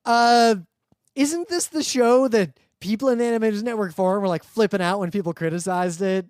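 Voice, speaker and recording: Male co-host for casual geek chat: high register, argumentative and quick to cut in, recorded dry. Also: darkish tone, clear, resonant tone, dry recording. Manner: teasing, argumentative, interjecting. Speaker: podcast co-host. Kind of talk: casual banter about anime and comics.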